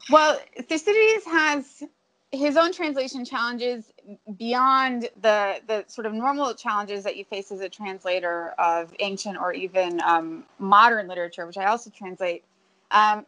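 A woman translator answering interview questions in a measured, academic way, with frequent ums and restarts. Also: medium pitch, a bit bright, rough recording. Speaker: translator of ancient Greek.

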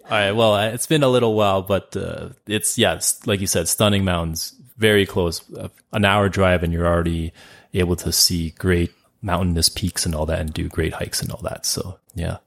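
A man, his Cantonese. His voice sits very low and has a gravelly fry. He chats loosely as a guest, repeating words and padding with fillers. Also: fairly even intonation, moderate pace, a bit bright. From Canada. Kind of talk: casual interview chat.

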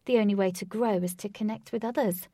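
A woman voicing a defiant young woman who confronts her stalker in a raised, forceful voice. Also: steady tone, fast, dry recording, studio quality, lightly acted. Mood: insistent. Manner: forceful, pleading, high.

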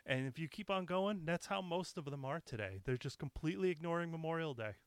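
A man hosting a solo podcast, his voice raspy as he gets over being sick, talking bluntly and with a lot of swearing. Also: a little high, expressive, a little fast, no room sound. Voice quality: raspy from recent illness. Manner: blunt, profane, impassioned. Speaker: podcast host.

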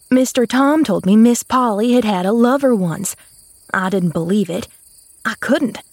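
A woman voicing an excitable, gossipy housemaid in an audiobook, with dramatic, folksy, ungrammatical speech. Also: sits high, dry recording, pitched above the performer's own voice. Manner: dramatic, folksy, ungrammatical dialect, repeats herself. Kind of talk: audiobook character voice.

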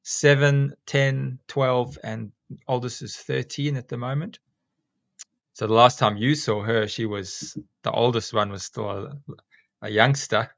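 A man in his forties with a South African accent, a school teacher talking casually as a podcast guest and often pausing with ums and restarts. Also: mid-range voice, fairly even intonation, gravelly, fairly bright tone, no room sound. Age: 40s. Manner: rambling, many ums and restarts.

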